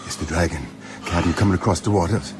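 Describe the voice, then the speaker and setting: A man's voice: sits low, fast, heavy background noise, lightly acted. An actor playing a loyal, deferential boatman, frightened, in a radio drama. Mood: fearful, urgent. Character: fearful, loyal male Caribbean boatman, deferential.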